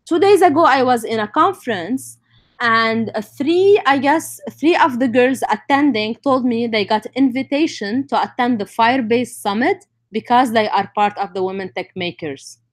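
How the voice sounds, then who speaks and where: A woman with a Lebanese accent leads an online tech session. Her voice sits on the high side. She presents informally, speaking directly to the people watching the stream. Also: moderate pace. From North Lebanon.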